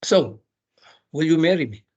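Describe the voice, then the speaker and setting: A man's voice: on the high side, expressive, moderate pace, darkish tone, dull audio. A middle-aged man from South Africa, a dental researcher, giving a chatty webinar lecture full of rhetorical questions and light jokes. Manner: chatty, jokey teacher, asks rhetorical questions.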